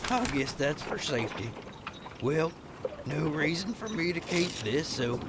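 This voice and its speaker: A man's voice: on the high side, big pitch swings, unhurried, heavy background noise. A man playing a good-natured, folksy cowhand with a Texan drawl in an old-time radio western. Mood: cheerful musing. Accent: Southern US, Texan. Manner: drawling, folksy, talks to his horse.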